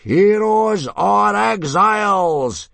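A bellowing Viking instructor, pitched up and swooping with bluster. He is pompous, gruff and bullying, every order delivered as a grand threat in a storybook style. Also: lively intonation, drawn-out words, a bit bright, dry recording, heavily acted. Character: loud, bad-tempered Viking drill instructor, gruff and bullying. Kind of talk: dramatised children's audiobook reading.

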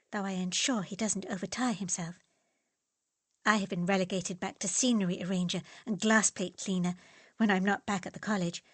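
An eager young woman pours her heart into a letter, read aloud by a woman. She sounds earnest and affectionate, at a middle pitch. The recording is dry and close. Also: dry recording, natural voice.

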